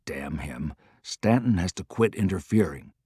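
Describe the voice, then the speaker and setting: A man voicing a terse, stern army general in an audiobook, muttering flatly with little emotion. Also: a little slow, slightly rough, no room sound, studio quality, full character voice. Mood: muttered irritation. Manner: muttering, low and flat, unemotional. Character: terse, stern, quietly commanding middle-aged army general.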